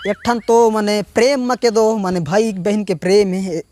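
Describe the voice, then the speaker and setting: A male stand-up comedian with a Chhattisgarhi accent and a hoarse throat, chatting to viewers and laughing often between lines. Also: high-pitched, steady tone, moderate pace, darkish tone, dry recording, faint music behind. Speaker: stand-up comedian and channel host. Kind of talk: casual stand-up comedy monologue.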